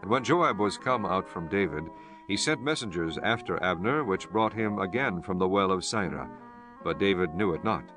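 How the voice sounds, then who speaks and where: A man's voice: deep voice, lively intonation, normal speed. A man narrating a dramatized scripture audiobook in a scripted, formal reading style. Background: instrumental music.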